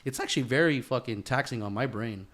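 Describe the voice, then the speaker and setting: A man's voice: mid-range voice, fast, a bit bright. A man talking as a podcast host in a casual chat, earnest and sweary, with bro-style slang.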